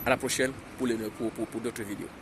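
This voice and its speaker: A man's voice: mid-range voice, flat delivery, fast, noisy background. A middle-aged man from Cameroon delivering an impassioned political vlog monologue, exhorting listeners and repeating phrases, recorded on a phone outdoors. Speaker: YouTuber.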